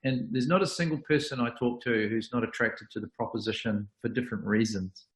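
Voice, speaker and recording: A man's voice: medium pitch, a little fast, echoey room, poor audio. A New Zealand man in his fifties, chatting over a video call, self-deprecating and full of restarts. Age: 50s. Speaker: guest, consultant. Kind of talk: casual video call chat.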